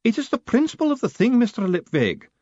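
A man voicing a formidable, prim older woman clerk who makes stern demands in a high, strained voice. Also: huge pitch swings, a little fast, a little mellow, no room sound, highs cut off, exaggerated voice.

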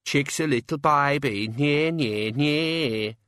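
A man's voice: medium pitch, little pitch movement, normal speed, smooth, no room sound, studio quality, natural voice. A man voicing a gang thug who jeers like a child in a singsong, in an audiobook. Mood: singsong teasing. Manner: jeering, singsong playground mockery. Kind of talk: audiobook character acting.

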